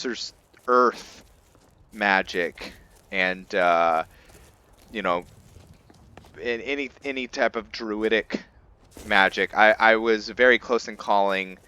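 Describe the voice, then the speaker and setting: A man giving casual let's play commentary as host of a roleplay series, thinking aloud in a halting way. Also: sits low, bright, forceful tone, smooth.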